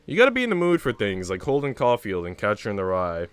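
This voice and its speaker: A man's voice: fairly low pitch, wildly swooping pitch, fast talker, clear, resonant tone, no echo, soft background music. A man livestreaming in a casual, rambling, informal chat style, swearing freely. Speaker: live streamer.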